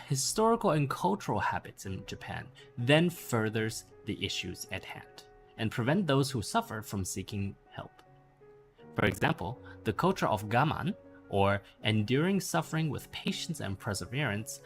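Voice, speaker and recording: A man reading a scripted explanatory essay aloud in a measured way, stressing the openings of sentences. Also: medium pitch. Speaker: narrator reading a bedtime-story style essay. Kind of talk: scripted narration read aloud.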